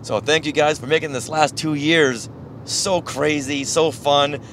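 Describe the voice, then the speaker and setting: A man's voice: fairly high pitch, fairly even intonation, a little slow, fairly bright tone, slight background noise. A man with an American accent co-hosting a podcast in casual, chatty style. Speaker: podcast co-host. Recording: music behind the voice. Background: music.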